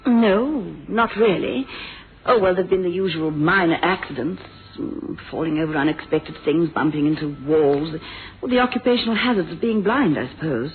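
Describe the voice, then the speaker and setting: An actress playing a guarded, genteel widow under police questioning in a radio mystery, poised and evasive. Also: female voice, on the low side, lively intonation, moderate pace, natural voice. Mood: guarded, polite. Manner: poised, evasive, turning clipped and defiant. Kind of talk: 1970s radio mystery drama acting. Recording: muffled, noisy old radio recording.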